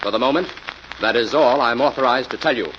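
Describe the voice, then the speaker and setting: A man playing a calm, authoritative detective in 1940s radio mystery style, with a brisk, measured, commanding delivery. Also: medium pitch, lightly acted. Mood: stern, official. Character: calm, authoritative male detective solving a murder. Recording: muffled, noisy vintage radio recording.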